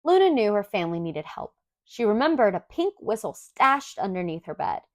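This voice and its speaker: A woman reads a children's story aloud in an expressive, clearly enunciated storytelling manner. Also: mid-range voice, normal speed, no echo, clean studio sound. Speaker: storybook reader.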